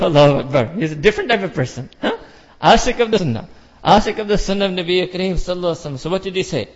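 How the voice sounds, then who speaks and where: A fairly high-voiced man preaches with fervor, pressing his listeners with repeated questions. His voice rings out in a long, echoing hall. The sound is muffled and lo-fi. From Pakistan or India. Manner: impassioned, rhetorical questions, mixes Urdu and Arabic phrases. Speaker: Islamic preacher. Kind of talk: sermon.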